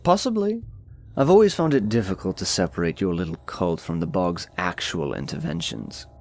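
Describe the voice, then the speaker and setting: A narrator voicing an urbane, aloof, sardonic nobleman with dry, erudite, measured phrasing in an audiobook. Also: sits low, sing-song, darkish tone, pitched below the performer's own voice. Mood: musing, sardonic. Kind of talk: audiobook character voice.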